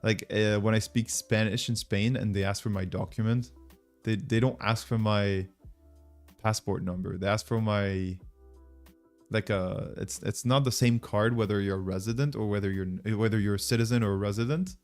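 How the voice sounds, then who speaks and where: Low-voiced Frenchman chatting over music. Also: steady tone, moderate pace. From France. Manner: informal, rambling, thinking aloud. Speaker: livestream host. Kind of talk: casual livestream chat with viewers.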